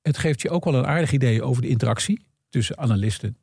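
A man's voice: mid-range voice, quick pace, gravelly, darkish tone, husky, dry recording, studio quality. A man explaining conversationally as an expert guest, acting out bits of dialogue as he goes. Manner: acts out imagined dialogue while explaining. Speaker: guest analyst explaining earnings calls.

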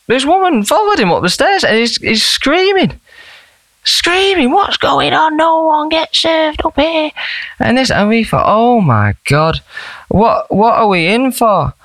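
A young man, a band member chatting as a podcast guest, telling rambling, animated stories. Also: sits high, no echo. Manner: animated, rambling storyteller with laughs. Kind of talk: casual storytelling chat.

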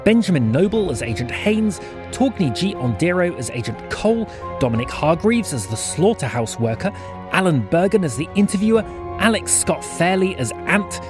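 Casting: male credits narrator, higher-than-average pitch with lively movement, scripted read. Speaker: announcer.

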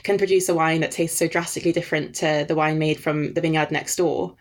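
A woman from Denmark whose first language is Danish, chatting thoughtfully as a podcast guest with frequent pauses. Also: deep voice, steady tone. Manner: thoughtful, with frequent "um" and light laughs. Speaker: guest, wine writer. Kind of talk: casual interview chat.

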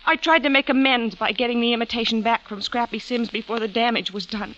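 A woman acting an earnest young woman in a vintage radio mystery, deliberate and halting yet defiant. Her voice is bright and fairly high, on a muffled old recording with faint noise. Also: steady tone, lightly acted. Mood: anxious recounting. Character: earnest, defiant young woman, potter's daughter under suspicion.

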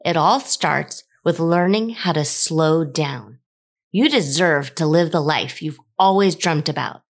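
A woman's voice: low-pitched, unhurried. An American woman hosting a podcast in a conversational, effusive and self-deprecating way. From United States. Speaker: podcast host.

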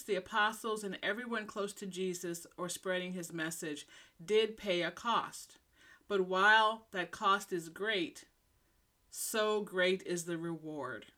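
A woman's voice: mid-range voice, bright, forceful tone. A woman hosting a faith podcast, giving scripted motivational Bible teaching in an emphatic way with rhetorical questions. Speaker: podcast host.

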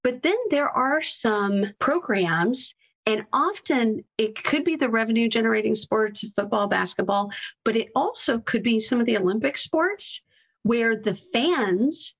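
Woman podcast guest, mid pitched voice, chatting answers, bit muffled lofi audio. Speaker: podcast guest, marketing executive. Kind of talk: conversational interview answers.

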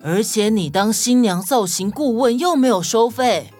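A woman's voice: a little high, animated, normal speed, a little mellow, soft background music. A woman playing a sensible, thrifty, grumbling detective in a children's audio story, with a dry, exasperated manner.

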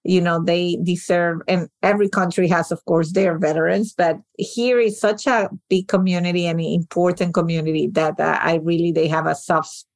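A woman's voice: on the low side, steady tone, moderate pace, few pauses, dry recording. A woman hosting an interview podcast, talking in an encouraging way with many restarts and fillers. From outside the US. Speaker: podcast host. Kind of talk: conversational interview.

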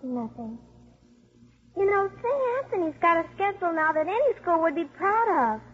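An actress playing a coach's plucky young daughter in a 1950s radio drama, childlike and coaxing. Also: female voice, very high voice, animated, normal speed. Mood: hopeful, coaxing. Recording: muffled 1950s radio broadcast.

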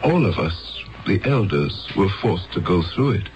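Grave old-school radio drama elder, fatherly, takes his time, very expressive, muffled 70s broadcast sound. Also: low-pitched. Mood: gently consoling. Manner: solemn, deliberate, dramatic. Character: grave fatherly elder of a peaceful future world. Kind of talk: 1970s radio drama acting. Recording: dull 1970s radio broadcast recording.

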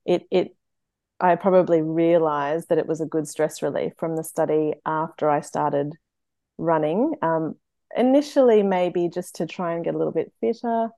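Aussie woman from queensland, lowish voice, dry close sound, lots of ums. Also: fairly even intonation, normal speed, gravelly, dry recording. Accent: Australian. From Brisbane, Queensland. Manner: hesitant, many ums, laughs softly. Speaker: guest, veterinarian and runner. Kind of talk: casual interview chat.

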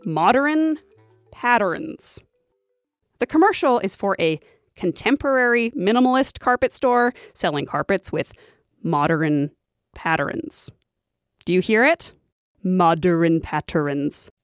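A woman's voice: mid-range voice, expressive, slow pace, long pauses, dry recording, clean studio sound, faint music behind. A woman hosting a podcast, telling stories in conversational, scripted narration. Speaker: podcast host.

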